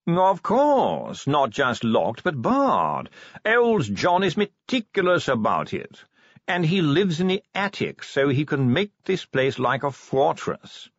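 A man's voice: a little high, wildly swooping pitch, slow pace, no room sound, lo-fi sound, voice pushed up, full character voice. A man voicing a nervous, refined art curator in an audiobook, with a fussy, polite, hesitant manner. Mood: fussy assurance.

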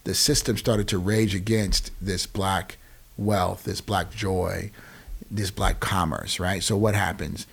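A man's voice: low voice, fairly even intonation, slow, creaky, a bit bright, breathy edge. An American man in his forties explaining things conversationally as an interview guest, emphatically and often checking that the listener follows. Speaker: podcast guest, nonprofit founder.

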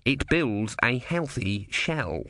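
A man's voice: mid-range voice, big pitch swings, slow pace, bright, forceful tone, no room sound, full character voice. A smug little tortoise god out of a comic storybook, voiced by a man. He grumbles drily and takes his time over the words. The recording is very dry. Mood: smug lecturing. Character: grumpy, smug male tortoise god, drily self-satisfied.

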